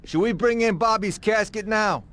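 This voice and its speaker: An actor playing a contemptuous, surly gangster henchman with a gravelly voice in a video game. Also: sits high, normal speed, fairly bright tone, a little hiss or hum. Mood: tense, businesslike. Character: surly, contemptuous male mob thug. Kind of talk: game cutscene acting. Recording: music behind the voice.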